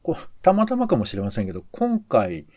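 A man's voice: mid-range voice, wildly swooping pitch, brisk, mellow, dry recording, clean studio sound. A man co-hosting a podcast, giving conversational commentary on radio shows and thinking aloud as he goes. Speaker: podcast co-host.

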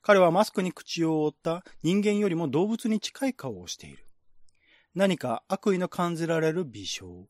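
A man's voice: fairly high pitch, lively intonation, moderate pace, dry recording, studio quality. A man reading scripted narration in a radio-drama style, as the narrator of a screenplay.

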